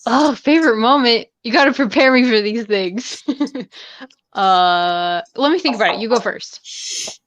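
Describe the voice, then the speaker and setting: A woman co-hosting a casual book chat on a livestream, informal and a little halting. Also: on the high side, a bit bright, dry recording. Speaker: livestream co-host discussing a book. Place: indoors, livestream call.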